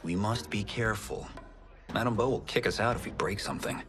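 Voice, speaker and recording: A man's voice: lowish voice, a little fast, a bit bright, soft background music, natural voice. A man playing a modest, good-natured and cautious young farmhand in a video game, mild and polite. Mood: friendly banter. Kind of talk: video game cutscene acting.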